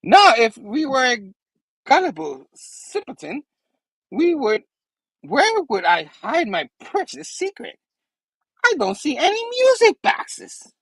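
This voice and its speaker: A taunting goblin supervillain out of a comic book, acted out by a man. The voice leaps up high and swings about theatrically, gleeful and mocking, in a close, dry sound. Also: wildly swooping pitch, takes it slowly, a bit bright, no room sound, exaggerated voice, low-quality recording.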